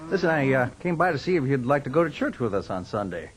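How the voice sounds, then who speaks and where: A man acting as a devout, friendly American farm neighbor in a radio drama. His mid-pitched voice rises and falls a lot, folksy and earnest, with farm animal sounds around. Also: very animated, fast, close to an everyday voice.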